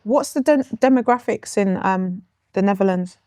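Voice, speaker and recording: A woman's voice: mid-range voice, moderate pace, dark tone, no echo, clean studio sound. Chatty young woman podcast host. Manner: chatty, encouraging, laughs easily. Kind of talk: casual interview.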